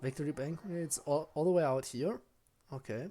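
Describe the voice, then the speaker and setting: Low-voiced woman streaming a game. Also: moderate pace, mellow. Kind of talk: casual playthrough commentary.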